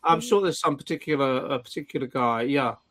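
A man hosting an online pub chat show, reading out listener questions with halting restarts and dry jokes. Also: mid-range voice, a crowd in the background. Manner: wry, joking.

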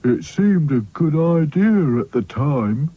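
A sulky cartoon rag doll, slow and husky. He moans stubbornly and drags his words, pitched up in a dull, lo-fi recording. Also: sing-song, full character voice. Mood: glum excuse. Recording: dull, muffled lo-fi audio.

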